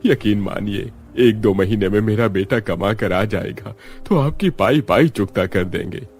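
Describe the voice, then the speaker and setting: A man's voice: on the low side, unhurried, a little mellow, faint music behind. A man voicing a poor, humble, pleading old father in a cartoon story.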